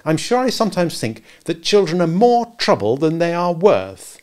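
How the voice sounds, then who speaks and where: A man's voice: a little high, big pitch swings, moderate pace, darkish tone, voice pushed up. A man voicing a prim, motherly girl who fusses and scolds a crowd of boys in a storybook. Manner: bossy, fussing.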